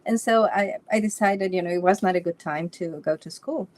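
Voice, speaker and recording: Ecuadorian woman chatting in an interview, restarts a lot, laughs softly between thoughts. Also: fairly low pitch, brisk. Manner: storytelling with frequent restarts and light laughs. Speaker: Feng Shui consultant, interview guest. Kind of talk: casual interview chat.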